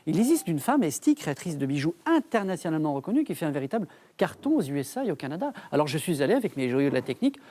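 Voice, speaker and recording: A man's voice: a little high, sing-song, racing, dark tone. A man doing a jokey TV report, interviewing a guest with teasing, mock-provocative questions.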